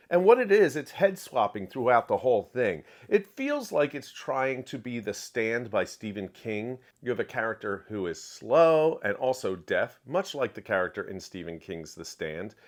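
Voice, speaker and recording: A man delivers an opinionated review as if straight to viewers. His mid-pitched voice swings animatedly up and down, and he stresses his points emphatically, often repeating a phrase to drive it home. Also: animated, a little slow. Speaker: book reviewer. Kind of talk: opinionated book review to camera.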